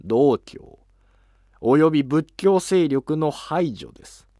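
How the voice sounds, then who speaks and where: Man playing an earnest, scheming young-sounding court noble, raised pitch. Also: lively intonation, slow, dark tone, no echo, studio quality. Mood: urgent, conspiratorial. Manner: formal, polite, urgent. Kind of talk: animated historical drama acting.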